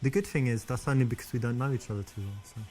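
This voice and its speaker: A man's voice: medium pitch, racing, darkish tone, faint music behind, close to an everyday voice. An actor with a southern English accent playing a chatty, easygoing man flirting on a date in a dating game. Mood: friendly, curious. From London, England. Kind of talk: acted dating-game dialogue.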